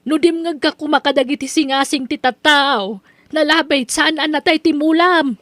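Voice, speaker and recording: A woman's voice: high-pitched, normal speed, a bit bright, no room sound. A woman voicing a mother nagging and scolding her son in a radio drama.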